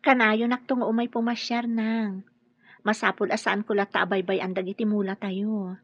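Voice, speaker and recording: A Filipino woman playing a gentle, devoted young bride and daughter with a reassuring manner in a radio drama. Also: medium pitch, little pitch movement, moderate pace, husky, dry recording, muffled recording, lightly acted.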